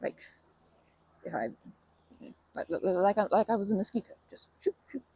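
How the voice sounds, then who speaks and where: A performer voicing a timid, anxious, kind park-goer in an ASMR roleplay, halting and over-apologetic, with a breathy, creaky voice. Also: mid-range voice, expressive, mellow, a little hiss or hum, lightly acted. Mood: nervous, apologetic. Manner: halting, stammering, over-apologetic, nervous laughter. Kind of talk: ASMR roleplay, improvised-sounding monologue to listener.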